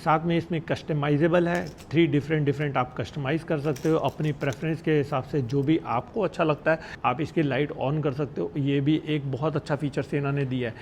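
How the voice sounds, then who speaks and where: Man, slightly high pitch, casual vlog-style review, conversational, English words mixed in, light background noise. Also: fairly even intonation, brisk, hardly any pauses. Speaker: motorcycle vlogger reviewing gear. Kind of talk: casual product review to camera.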